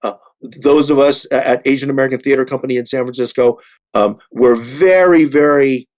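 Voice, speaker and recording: A man speaking earnestly in a discussion, halting and repeating words as he makes emphatic points. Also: mid-range voice, darkish tone. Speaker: panelist, theatre artist.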